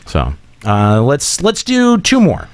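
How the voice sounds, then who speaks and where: A man's voice: fairly high pitch, huge pitch swings, slow pace, darkish tone, no echo. A man hosting a podcast, bantering casually with his co-hosts. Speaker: podcast host.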